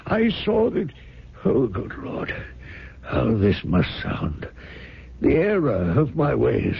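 Old rich guy on his deathbed, gravelly n slow, preachy and pleading, old radio drama. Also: mid-range voice, wildly swooping pitch, heavily acted. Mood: earnest, dismayed. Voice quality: hoarse, weak, gravelly. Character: dying old rich businessman, moralizing, anxious father. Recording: dull, band-limited old radio recording.

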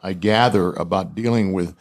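A man's voice: sits low, moderate pace. An older man who leads a ministry, a studio guest talking in a playful but earnest way. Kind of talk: casual interview chat.

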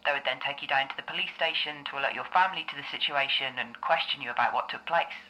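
A woman playing a calm, reassuring therapist in an audio drama, speaking in a measured, explanatory way. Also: a bass voice, poor audio. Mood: calm, explaining. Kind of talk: scripted audio drama dialogue.

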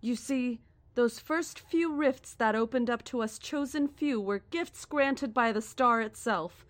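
A woman playing a serene but menacing female cult leader in a horror audio drama, speaking in a deliberate, formal, condescending and grandiose way. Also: on the high side, no room sound, close to an everyday voice. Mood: reverent. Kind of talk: horror audio drama acting, live radio address.

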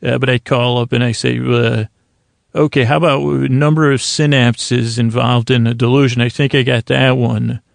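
A man hosting a bedtime podcast, rambling in a halting, meandering, self-deprecating monologue meant to lull listeners to sleep. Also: medium pitch, level tone, creaky, no echo. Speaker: podcast host.

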